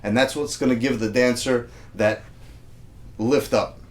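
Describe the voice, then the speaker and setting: A man's voice: mid-range voice, measured pace. Male instructor, easygoing and friendly, plain mid voice, explains as he goes. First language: English. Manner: relaxed, friendly instructor, counts beats aloud. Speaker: music teacher. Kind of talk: casual video lesson.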